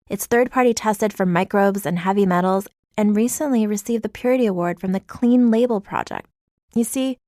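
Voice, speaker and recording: A woman's voice: medium pitch, a little mellow, no room sound, clean studio sound. Woman reading an earnest ad. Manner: earnest, polished. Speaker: company founder in an ad. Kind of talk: scripted ad read.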